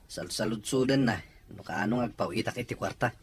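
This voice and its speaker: A woman's voice: very deep voice, a little fast, breathy edge, exaggerated voice. A woman playing an evasive grown son, a working man, in an audio drama. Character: evasive adult son working away from family. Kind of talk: Ilocano audio drama acting.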